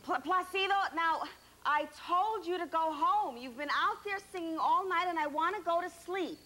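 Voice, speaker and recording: A woman's voice: very high-pitched, normal speed, pushed and bright. A woman playing a firm but kind, exasperated object of affection in children's TV comedy, earnest and emphatic, with some background noise.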